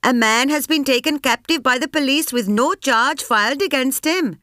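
A woman narrator voicing a fierce, defiant woman gang leader who shouts and scolds. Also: high-pitched, normal speed, no echo, studio quality, voice pushed up. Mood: rallying.

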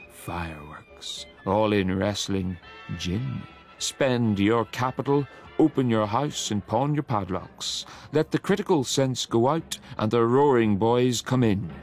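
A man giving a measured, declamatory reading of a long poem. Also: on the low side, gravelly. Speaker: poetry reader. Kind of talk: dramatic poetry reading.

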